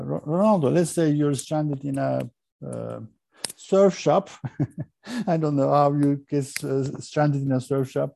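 A male interviewer with a very dark, gravelly voice and a slightly high pitch. He asks his questions hesitantly, pausing on filler sounds.

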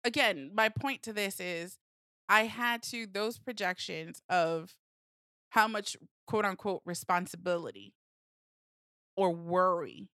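A woman's voice: on the low side, animated, slow, sharp tone, dry recording, clean studio sound. A young American woman from a Peruvian family, chatting reflectively as a podcast guest with plenty of fillers. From United States, Peruvian family. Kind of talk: casual podcast chat.

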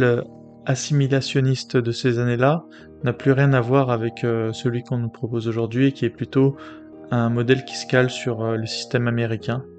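A man's voice: mid-range voice, a little mellow. Male host with faint music behind. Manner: earnest, frequent filler hesitations. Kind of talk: scripted episode introduction.

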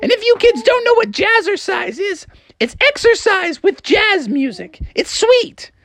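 A frog mother in a children's story, perky and breezy. The voice is very high and lively, full of proud self-assurance. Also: unhurried, no room sound, full character voice. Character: perky frog mom, proud exercise enthusiast.

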